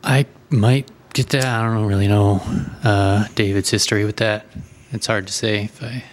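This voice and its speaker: Man, low voice, podcast co-host, casual, laid-back, short replies. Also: steady tone, normal speed.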